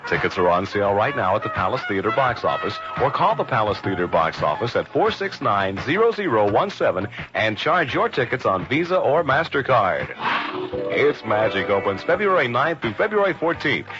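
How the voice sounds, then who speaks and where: A man's voice: fairly low pitch, very animated, moderate pace. A man reading a promotional radio commercial as a scripted announcement. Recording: dull old radio recording. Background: music.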